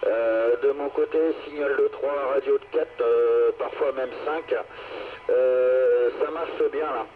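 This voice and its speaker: A man's voice: mid-range voice, fairly even intonation, slow. A man chatting casually as an amateur radio operator, courteously exchanging signal reports. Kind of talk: casual radio contact chat. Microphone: two-way radio microphone. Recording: tinny narrowband radio, faint noise.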